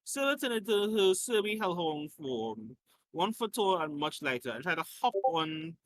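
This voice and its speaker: A man's voice: a little high, big pitch swings, normal speed. A man reading a story aloud in an informal way, as a host who slips in his own asides and corrections.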